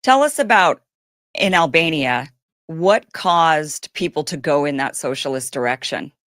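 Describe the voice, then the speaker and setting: Low-voiced woman interviewer, dry sound. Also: expressive, a little slow, a bit bright, no echo. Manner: direct, prompting. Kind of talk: interview questions.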